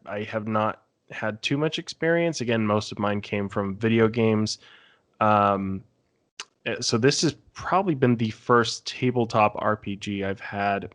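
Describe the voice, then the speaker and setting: A man's voice: lowish voice, fairly bright tone. A man co-hosting a podcast, talking casually in a panel discussion. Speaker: podcast co-host.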